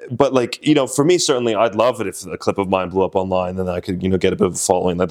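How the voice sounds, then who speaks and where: A man in his twenties from Slovenia, a comedian chatting casually in an interview, rambling and self-deprecating with frequent restarts. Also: low voice. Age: 20s. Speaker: comedian guest.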